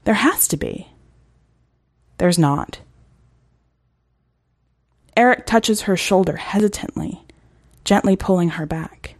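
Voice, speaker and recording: A woman narrates a short story at a very slow, deliberate pace. Her voice is slightly low, and the recording is close and dry with no room sound. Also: no echo. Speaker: podcast editor and story reader. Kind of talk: scripted fiction narration.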